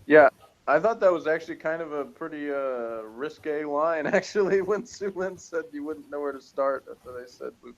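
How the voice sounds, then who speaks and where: A man's voice: on the high side, normal speed, lo-fi sound. A man reviewing a TV episode in casual, rambling, jokey chat, often chuckling. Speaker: reviewer on a TV show review channel.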